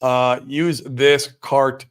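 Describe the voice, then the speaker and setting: A man's voice: medium pitch, level tone, drawn-out words, smooth. An American man hosting a livestream, chatting casually and answering viewers in a slangy, exclamatory way. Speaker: livestream host. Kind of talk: casual chat, answering viewer comments live.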